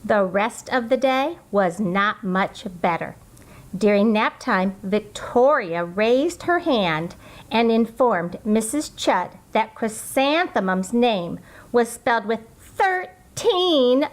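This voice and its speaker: Woman, children's story reader, unhurried delivery, expressive drawn-out emphasis, slightly high pitch. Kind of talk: read-aloud picture book narration.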